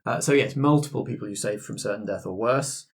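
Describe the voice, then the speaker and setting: A man's voice: lowish voice, fairly quick, vocal fry, husky. A man, hosting a tabletop role-playing debrief as game master. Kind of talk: hosting an actual play debrief.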